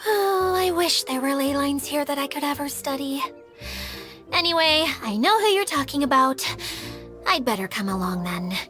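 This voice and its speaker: Game role: high, swooping voice for a meek, weepy young mascot who swings to bold outbursts. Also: female voice, expressive, moderate pace, over music. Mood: flustered. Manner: flustered, melodramatic, swings between meek and bold. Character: dramatic young woman mascot, timid and quick to cry. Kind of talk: game cutscene voice acting.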